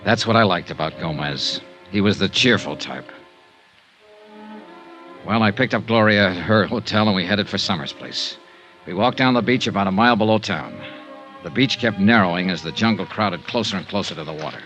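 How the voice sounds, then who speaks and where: Low-voiced, wry tough investigator. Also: male voice, moderate pace, fairly bright tone, soft background music. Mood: hardboiled narration. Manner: wry, clipped, hardboiled. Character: wry, tough American insurance investigator narrating his case. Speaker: lead actor and narrator. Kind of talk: 1950s radio detective acting. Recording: noisy old radio drama recording.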